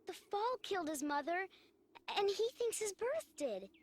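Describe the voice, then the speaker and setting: A woman playing a spirited, headstrong young girl in an animated drama, blunt, bossy and emphatic. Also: very high-pitched, measured pace, full character voice. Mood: earnest.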